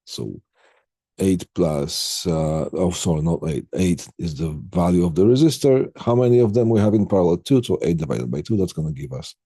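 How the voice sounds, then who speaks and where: A man with a dark, husky voice teaches a technical class in a close, dry sound. He explains things informally, one step at a time, halting as he goes and checking in with students. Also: deep voice, no echo. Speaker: instructor. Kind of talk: live technical lecture with questions to students.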